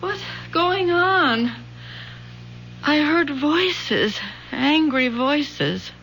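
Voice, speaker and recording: Fragile, high-voiced radio drama mother. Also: expressive, very slow, fairly bright tone, exaggerated voice. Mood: suspicious, uneasy. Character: fragile, suspicious middle-aged mother and wife. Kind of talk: old-time radio drama acting. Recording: noisy old radio broadcast with hum.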